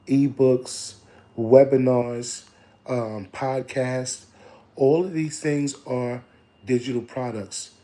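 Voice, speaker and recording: Man, a bit hoarse, mellow low tone, repetitive motivational coach. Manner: preachy, repeats lines, calls listeners "family". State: slightly hoarse. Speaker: digital marketing coach. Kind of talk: casual motivational live stream.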